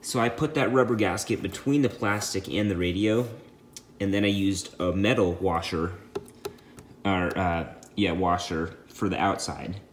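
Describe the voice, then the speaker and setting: A man's voice: sits low, a little slow, a little hiss or hum. A man hosting a do-it-yourself video, talking through a job step by step in a casual, plain-spoken, informal way. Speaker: DIY video host. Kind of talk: casual how-to walkthrough.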